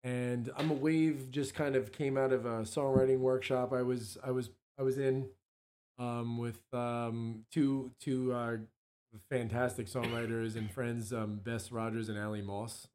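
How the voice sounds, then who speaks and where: A hoarse man, a musician guest, chatting casually on a local radio show with lots of ums and restarts. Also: mid-range voice, level tone, measured pace, darkish tone. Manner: rambling, many ums and restarts.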